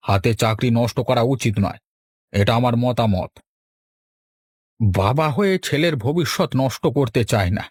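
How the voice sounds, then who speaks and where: A man's voice: medium pitch, dry recording, clean studio sound, lightly acted. A man voicing a kindly, sensible older father in a village cartoon.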